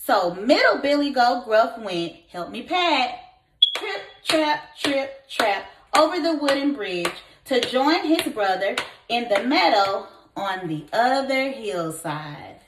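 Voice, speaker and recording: An echoey room carries a woman's slow, very animated read-aloud. She is a kindergarten teacher, expressive and encouraging as she tells the story and asks her young listeners questions. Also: a little high, background noise.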